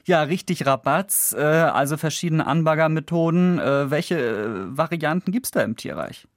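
Chatty German radio host, dry studio sound. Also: male voice, on the high side, animated, moderate pace, no echo. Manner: chatty, playful, many fillers. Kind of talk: casual radio chat.

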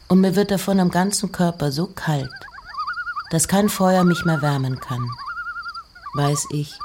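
A woman playing a reflective, intense poet in a slow, intimate dramatic recitation of letters and verse. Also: low voice, noisy background. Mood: earnest. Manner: measured, intimate, often dropping to breathy whispers.

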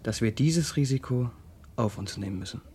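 A man acting in a 1970s German radio play as a cautious, worldly-wise confidant, speaking formally and deliberately with grim pessimism. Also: medium pitch, animated, dark tone, a little hiss or hum, natural voice. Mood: grave, warning.

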